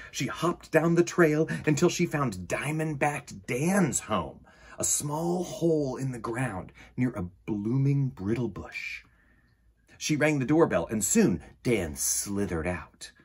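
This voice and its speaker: A man hosting storytime for children, reading a picture book aloud in an expressive, emphatic way. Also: medium pitch, slow, breathy edge. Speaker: children's storytime host and reader.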